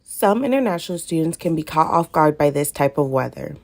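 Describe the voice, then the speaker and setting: A woman's voice: low-pitched, expressive, brisk, a little hiss or hum. A woman reporting, reading scripted narration for a news package. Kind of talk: news voice-over.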